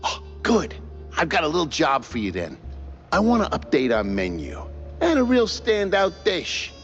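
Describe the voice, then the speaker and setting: A man voicing an excitable, boastful cook with grandiose schemes in a cartoon game, theatrical and deliberate. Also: a little high, highs cut off, soft background music. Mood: eager, showy.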